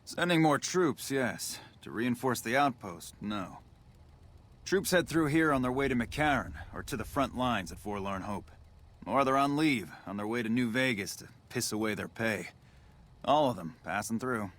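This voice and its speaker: An actor voicing a frustrated, dutiful military officer in a video game, with a clipped, emphatic, bureaucratic delivery. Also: male voice, mid-range voice, lively intonation, moderate pace, fairly bright tone. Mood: curt, frustrated. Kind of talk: video game character dialogue.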